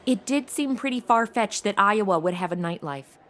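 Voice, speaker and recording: A woman's voice: medium pitch, animated, moderate pace, a bit bright, lightly acted. A woman playing a flighty, exasperated young temp secretary in a comedy audio drama, talking in breezy modern slang. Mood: puzzled. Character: flighty young female temp secretary, indignant party girl.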